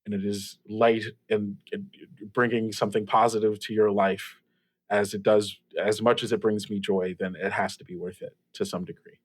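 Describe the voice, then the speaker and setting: A man's voice: lowish voice, little pitch movement, dry recording, close to an everyday voice. A man playing an earnest, hesitant host of a late-night radio call-in show. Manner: hesitant, halting, sincere.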